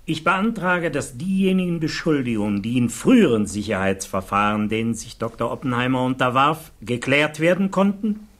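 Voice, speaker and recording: A man playing a formal defence lawyer making his case deliberately at a hearing in a 1960s German radio play. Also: medium pitch, animated, fairly bright tone, natural voice. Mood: formal, firm. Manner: formal, deliberate legal delivery.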